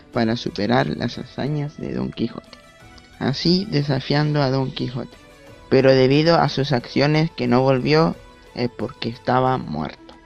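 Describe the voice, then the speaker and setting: A man narrates a scripted summary in a creaky, gravelly voice of medium pitch. The sound is dull and lo-fi, with music underneath. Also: a little mellow. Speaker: narrator of a student book summary. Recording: dull, lo-fi amateur recording.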